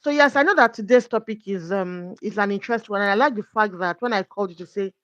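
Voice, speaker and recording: A woman's voice: medium pitch, fast, a bit bright, no echo. A woman talks as a guest coach, earnest and careful, working through her points with examples. Her voice sounds dry and a little dull, with no room around it. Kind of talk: conversational faith talk.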